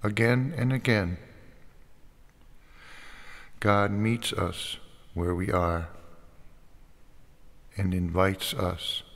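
A man reading a statement of faith as a church speaker, in a solemn liturgical style with measured short phrases and deliberate pauses. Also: low-pitched, level tone, fairly bright tone. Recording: long hall-like reverb.

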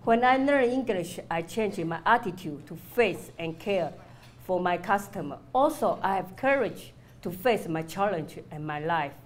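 A woman's voice: on the low side, lively intonation, measured pace, a little hiss or hum. A middle-aged woman from Taiwan, a native Mandarin Chinese speaker, talking in simple, broken English in an interview in a restaurant hall. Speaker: restaurant owner and adult learner.

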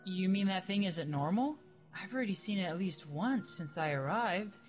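Woman as a flippant, animated young heroine. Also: medium pitch, moderate pace, soft background music, close to an everyday voice. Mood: casual, curious. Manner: casual, sardonic. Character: flippant, curious young female creature, dead soul. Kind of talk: radio play acting.

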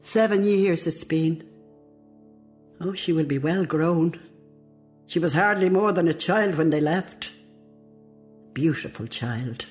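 Low-voiced devout old Irish woman. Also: slow, long pauses, a little mellow, faint music behind. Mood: fond, hopeful. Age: older. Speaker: actor in a radio play. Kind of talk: dramatic radio monologue.

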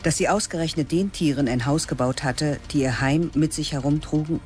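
Stern older teacher , an actress, clipped and dry, soft music beneath. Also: female voice, sits low, fairly quick, close to an everyday voice. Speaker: narrator and lead. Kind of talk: radio play narration and acting. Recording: radio play with music underneath.

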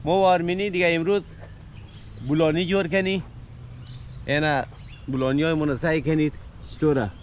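An Afghan-accented man in his thirties talks easily to a close action camera in a sunny park, his voice sitting a bit high for a man. Faint outdoor ambience with birds hums behind him on the open-air recording. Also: pauses often, noisy background. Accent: Afghan Dari. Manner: relaxed, chatty, talking to camera while eating. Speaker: vlogger. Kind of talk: casual outdoor cooking vlog.

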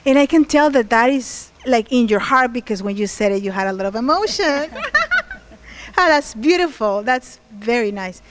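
A woman's voice: on the high side, expressive, normal speed, slight background noise. A woman hosting an interview, chatty and upbeat, switching languages to repeat her questions into a handheld mic outdoors. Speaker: host and interviewer. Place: outdoors on the porch of a large unfinished house.

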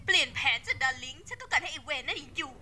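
A woman's voice: high voice, sing-song, racing, bright, forceful tone, heavily acted. A woman voicing a spiteful, haughty, petulant owl demon noblewoman in a fan-dubbed cartoon. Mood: commanding. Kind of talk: Thai fan-dub cartoon acting.

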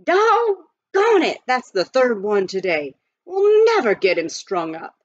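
A paunchy frontier justice blusters in folksy speech as a woman reads him in a storybook, his pitch jumping around a lot. The sound is dull and lo-fi, close and dry. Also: wildly swooping pitch, takes it slowly, no echo, heavily acted. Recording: dull lo-fi recording.

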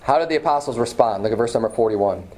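A man in his thirties preaches at a pulpit in a small church hall, picked up by a camera some distance away. He drives his points home by repeating phrases and lets his pitch climb as he warms up. A faint hiss and a few clicks sit under the voice. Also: expressive, quick pace. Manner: emphatic preaching, repeats phrases, rises in pitch when stirred. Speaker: pastor. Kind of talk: sermon. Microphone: far camera, at a pulpit.

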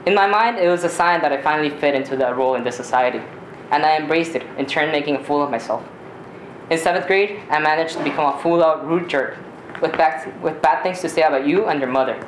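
A man's voice: on the high side, fast. Teenage boy reading aloud in a roomy space. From Ecuador. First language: Spanish. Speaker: storyteller. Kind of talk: reading a personal story aloud. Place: small community theater stage. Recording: roomy live stage recording, faint noise.

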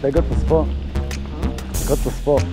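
A middle-aged man with an Australian accent, relaxed and encouraging, chatting casually while fishing on a beach at night. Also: fairly high pitch, slow, over music. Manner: relaxed, encouraging, laid-back. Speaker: fishing vlogger. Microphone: action camera. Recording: outdoor camera with loud surf and wind noise. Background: surf and wind.

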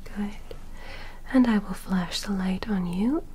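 A woman voicing a gentle, curious alien medical examiner in an ASMR roleplay, in a soft, breathy whisper with deliberate short instructions. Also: mid-range voice, a little hiss or hum, close to an everyday voice. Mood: soothing, clinical. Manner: slow, deliberate, short instructions with simple praise. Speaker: ASMR performer playing an examiner.

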